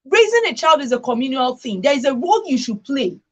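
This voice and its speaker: A woman's voice: high-pitched, big pitch swings, fast talker. A woman hosting a radio discussion, speaking emphatically and repeating phrases to drive her points home. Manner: emphatic, repeats phrases for stress, checks with "right?". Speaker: radio talk show host.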